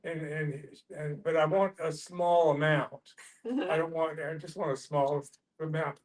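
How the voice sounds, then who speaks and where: A man telling a casual personal anecdote in a halting, rambling, folksy way during a group discussion. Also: a little high, level tone. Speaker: discussion participant.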